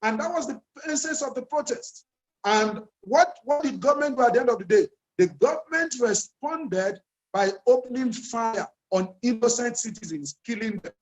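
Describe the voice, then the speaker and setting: A man's voice: very high-pitched, lively intonation, moderate pace, low-quality recording. A man in his fifties with a Nigerian accent speaks to a young audience over a video call. He talks earnestly, circles back to the same questions and repeats lines to drive them home. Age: 50s. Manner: earnest, repeats key phrases and asks rhetorical questions. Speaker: conference speaker addressing children. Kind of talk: motivational speech to young people.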